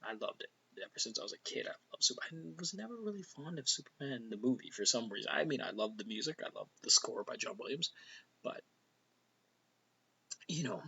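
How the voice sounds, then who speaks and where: A man's voice: medium pitch, animated, a little fast, creaky, sharp tone, slightly rough, lo-fi sound. A middle-aged Canadian man with a Canadian accent, rambling casually as host of his own vlog, often sliding into a near whisper. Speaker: vlogger host.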